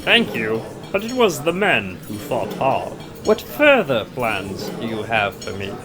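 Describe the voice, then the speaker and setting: An actor playing a treacherous warrior prince in a Bible audio drama, smooth-talking and falsely deferential. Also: male voice, medium pitch, wildly swooping pitch, a little slow, music in the background. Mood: guarded, polite. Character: treacherous, scheming male warrior prince feigning courtesy.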